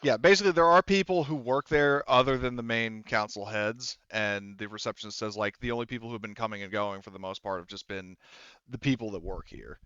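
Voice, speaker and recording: A man casually improvising as a tabletop game master, explaining haltingly and often restarting his sentences. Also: on the low side, a bit bright, clear, resonant tone, no echo, lo-fi sound.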